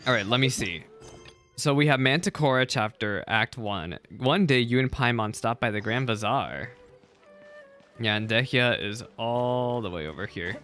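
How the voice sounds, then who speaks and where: A male streamer chats through his game session in an excitable, rambling way, often repeating himself. His voice has a bright, forward edge, and soft game music plays faintly underneath. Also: medium pitch. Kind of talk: casual livestream commentary.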